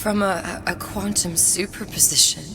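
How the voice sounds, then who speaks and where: An actress playing a guilt-ridden, anguished young woman in a video game, speaking in a halting, emotional way with music behind her. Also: lowish voice, fairly even intonation, heavy background noise, close to an everyday voice. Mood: shaken, halting. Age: young adult. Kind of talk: dramatic video game voice acting.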